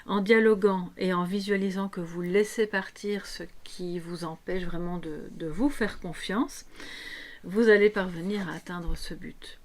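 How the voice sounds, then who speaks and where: A woman with a somewhat low voice and a slight hoarseness guides viewers through a tarot reading. She talks off the cuff, explaining as she goes and checking in with her listeners. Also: fairly even intonation, a little slow, darkish tone. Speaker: tarot reader and coach hosting a guidance video. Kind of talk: unscripted spiritual guidance talk to viewers.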